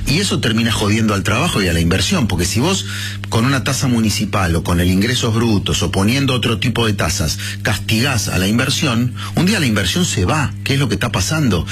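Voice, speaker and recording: A man's voice: lowish voice, expressive, brisk, a bit bright, soft background music. A man in his fifties with an Argentine Rioplatense accent, a guest in a radio interview, talking bluntly and colloquially with conviction. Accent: Rioplatense Spanish. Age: 50s. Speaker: interviewed politician, former mayor.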